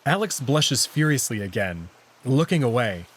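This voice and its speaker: A man reads a visual novel aloud in first person. His voice is mid-pitched and husky, and his narration is expressive, with lively movement in pitch. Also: normal speed.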